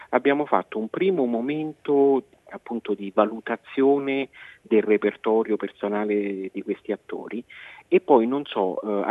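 A man's voice: lowish voice, dry recording. A man, a theatre director, explaining his work as a guest interviewed over the telephone. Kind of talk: radio phone interview. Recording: muffled narrow phone line.

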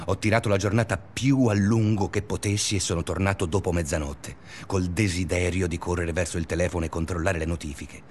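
An actor playing an obsessive, restless male schoolteacher in a confessional radio drama monologue, speaking deliberately in short phrases. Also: sits low, fairly even intonation, a little mellow, slight background noise, lightly acted. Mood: tense. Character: obsessive, restless male schoolteacher narrating his sleepless days.

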